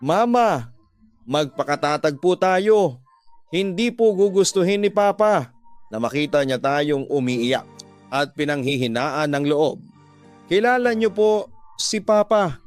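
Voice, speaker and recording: A man's voice: a little high, expressive, a little slow, clean tone, faint music behind. A man voicing a devoted young adult daughter in a radio drama, tearfully comforting and earnestly pleading.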